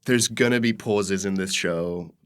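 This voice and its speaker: This is a man with a fairly low voice. He talks casually, as a comedian guest would in an interview, and keeps a playful, dryly joking tone with mock-earnest asides. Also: a little slow. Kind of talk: casual conversational interview chat.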